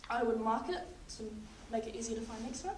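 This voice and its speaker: High-voiced young woman, losing her voice. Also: fast. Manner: calm, instructive, talks the patient through each step. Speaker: paramedic student in a practical exam. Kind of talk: narrating a clinical skills demonstration. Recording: echoey, distant, noisy room.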